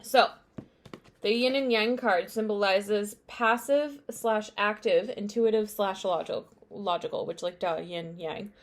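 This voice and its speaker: Young woman tarot reader, casual and rambling, mid-pitched, relaxed delivery. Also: unhurried. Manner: chatty, meandering, many fillers and asides. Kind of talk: casual spiritual card reading.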